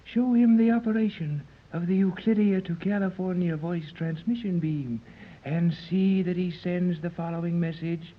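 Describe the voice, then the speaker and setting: Imperious high-pitched island ruler, tinny recording. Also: male voice, moderate pace. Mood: coldly commanding. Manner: commanding, clipped, formal. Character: stern, imperious male ruler of a secret island. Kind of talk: 1930s radio serial acting. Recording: tinny, muffled 1930s radio recording.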